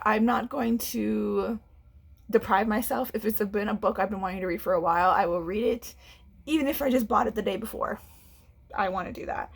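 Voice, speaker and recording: A woman's voice: on the high side, creaky, slightly rough. A young woman, a book vlogger chatting casually at home, a little congested, rambling and restarting as she talks. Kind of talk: casual chat to camera. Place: home room.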